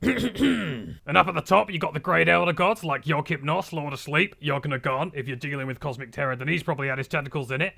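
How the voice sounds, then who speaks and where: A gravelly British monster hunter acted by a man, blustering and blunt, with a forceful edge to the voice. He is a middle-aged veteran talking casually to camera in an animated mockumentary, bossy and deadpan about his own exploits. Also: fairly high pitch, a little fast, heavily acted. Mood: matter-of-fact.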